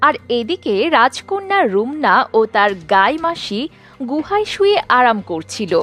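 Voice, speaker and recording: Female children's story narrator, high-pitched, extremely animated, faint music underneath. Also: measured pace.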